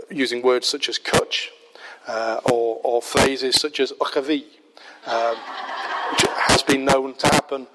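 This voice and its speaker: A man with a Yorkshire accent preaching a measured, explanatory sermon, often pausing with "uh". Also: medium pitch. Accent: Yorkshire English. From Yorkshire, England. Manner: measured, explanatory, with frequent "uh" fillers. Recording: loud background noise.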